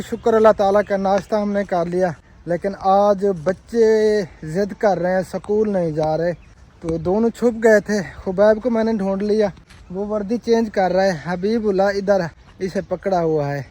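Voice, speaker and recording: A man's voice: sits high, mellow. A man from Pakistan, chatting to viewers on a family village vlog. He has a sore throat and talks in a chatty way, praying and speaking to the audience directly. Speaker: family vlogger. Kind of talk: casual family vlog chat. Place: rural village home outdoors. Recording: distant mic with clear background noise.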